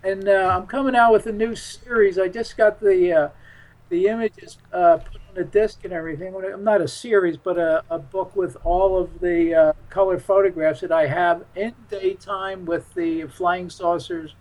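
Male interview guest, high voice, casual and rambling talk with emphatic turns. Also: fairly even intonation, normal speed, a little mellow, rough recording.

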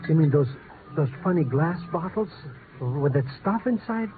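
High-pitched cowardly sidekick played by a man. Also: expressive, normal speed, close to an everyday voice. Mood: nervous, comic. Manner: nervous, whining, fractured grammar. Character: cowardly, greedy, comic foreign male sidekick. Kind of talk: 1950s radio comedy-drama acting. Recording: noisy, muffled 1950s radio recording.

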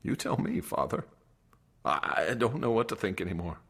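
A man's voice: fairly low pitch, sing-song, vocal fry, a bit bright, husky, exaggerated voice. An actor playing a haunted young man recounting a friend's horrific death, in an intense radio drama style. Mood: shaken, bewildered. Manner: intense, halting when distressed. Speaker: narrator and lead actor. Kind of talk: dramatic radio play narration. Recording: dramatic music under the voice.

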